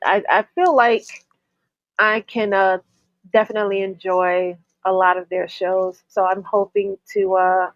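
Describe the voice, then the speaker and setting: Female co-host from New York, stuffy with a cold, slow and rambling, dull dry lo-fi sound. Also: mid-range voice, no echo. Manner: chatty, with frequent ums and restarts. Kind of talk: casual chat.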